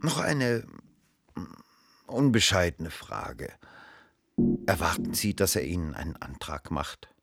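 An actor playing a cynical, worldly gentleman who narrates a literary radio drama in measured phrases. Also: sits low, very animated, gravelly, breathy edge, no echo, clean studio sound, soft background music, heavily acted. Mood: probing, sardonic.

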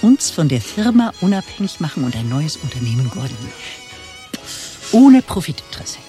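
An imperious executive director in a radio satire. She is curt and impatient, in a lowish voice with big theatrical swings and faint music behind. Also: big pitch swings, normal speed, mellow. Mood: grandiose. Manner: commanding, clipped, theatrical. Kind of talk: satirical radio play acting.

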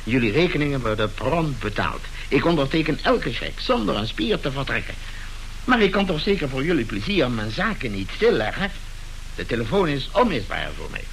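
A man's voice: mid-range voice, big pitch swings, brisk, creaky, fairly bright tone. An actor playing a pompous, impatient middle-aged businessman father in a radio play, speaking officiously as if chairing a board meeting. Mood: brusque. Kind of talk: Dutch radio play acting. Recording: noisy old radio drama recording.

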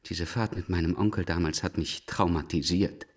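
An actor playing a methodical, calm police detective in an audio crime drama, measured, understated and politely insistent. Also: male voice, sits low, slightly rough. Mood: wry. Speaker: police investigator.

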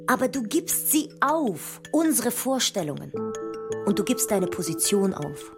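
An actress playing a passionate, melancholy Russian woman scholar of the nineteenth century in a theatrical radio drama, speaking in a deliberate, poetic way. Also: mid-range voice, very animated, music in the background. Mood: urgent, pleading.

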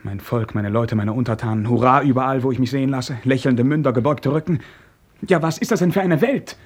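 An actor playing a sheltered, well-bred young prince in a radio drama, formal, hesitant and earnest. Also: male voice, mid-range voice, brisk, lightly acted. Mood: troubled. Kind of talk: German radio drama acting.

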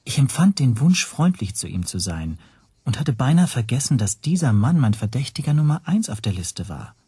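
A man's voice: mid-range voice, big pitch swings, normal speed, mellow, husky. An actor playing a stateless Hungarian teacher who recounts his ordeal reflectively in a scripted radio drama. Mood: watchful. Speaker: first-person narrator.

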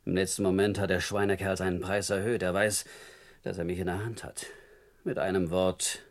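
An actor playing a brazen, menacing criminal on the run in a vintage radio crime play, with a mocking, slangy manner. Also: male voice, deep voice, flat delivery, moderate pace. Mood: calculating.

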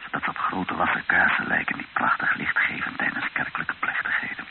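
A man's voice: a little fast, lightly acted. Een man die in een hoorspel een ernstige, bezorgde Nederlander speelt, die ook bedachtzaam vertelt. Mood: subdued, reflective. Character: earnest, troubled Dutchman, narrator and confidant. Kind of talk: radio play acting with reflective narration. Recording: muffled, hissy old radio recording.

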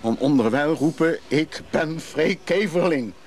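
An actor playing a restless, evasive recovering drinker in a radio play, hesitant and confiding. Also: male voice, fairly high pitch, measured pace, slight background noise, close to an everyday voice. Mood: uneasy, confessional. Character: restless recovering drinker, evasive man who craves freedom. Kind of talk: naturalistic Dutch radio drama acting.

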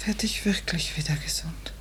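A woman acting a gentle, anxious hospital patient of thirty in a 1950s radio drama, soft and wistful. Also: lowish voice, moderate pace, in a whisper, lightly acted. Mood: frail, uneasy. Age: 30s. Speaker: actress. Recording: noisy old 1950s radio recording.

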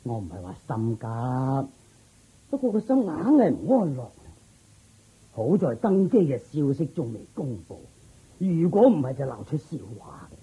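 A man's voice: medium pitch, very animated, unhurried, mellow, breathy edge, heavily acted, rough recording. A man playing an anxious king and worried father in a radio drama, sighing and demanding as he speaks. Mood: anxious, weary.

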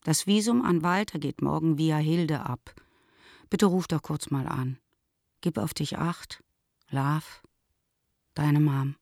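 A concerned mother of middle age in a radio play of letters. Her voice is deep for a woman, with a measured, admonishing delivery. The sound is close and dry. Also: vocal fry, darkish tone, dry recording, full character voice. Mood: brisk, caring. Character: concerned, admonishing mother writing to grown daughter.

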